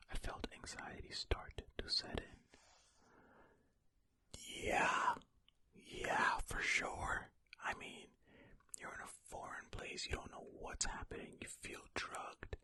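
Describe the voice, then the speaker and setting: Bright, breathy whispering ASMR storyteller. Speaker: host of an ASMR storytelling channel. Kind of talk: ear-to-ear whispered ASMR, reading a story aloud with casual commentary. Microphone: close stereo ASMR microphone.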